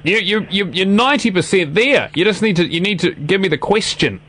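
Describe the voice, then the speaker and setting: A man's voice: sits high, expressive, a little fast, bright, forceful tone. A man with a New Zealand accent chatting casually on the radio, patient, often restarting his phrases. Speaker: radio presenter, now interview guest.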